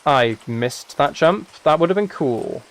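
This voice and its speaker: A young British man chatting casually as he streams a game, rambling and thinking out loud as he goes. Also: a little high, lively intonation, a little slow. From United Kingdom. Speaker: live streamer.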